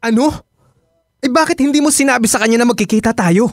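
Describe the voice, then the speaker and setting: A man's voice: very high-pitched, fast, no echo, clean studio sound. A man playing a reluctant, earnest married ex-boyfriend who reasons and questions, in a Filipino radio drama. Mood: wary, surprised.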